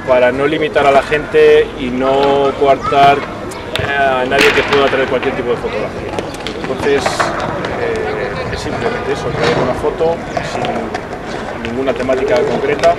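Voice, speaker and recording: Man explaining outdoors amid loud street noise. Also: medium pitch, measured pace, far from the mic. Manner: relaxed, explanatory, with hesitations. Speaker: photo association organizer. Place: city square outdoors. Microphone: camera mic from a distance.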